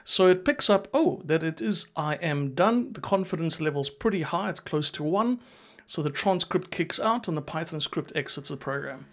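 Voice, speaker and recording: A man's voice: fairly high pitch, lively intonation, moderate pace, fairly bright tone. A man in his forties with a South African accent, explaining a tech tutorial into a close desk microphone. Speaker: instructor, presenter. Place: small indoor home studio.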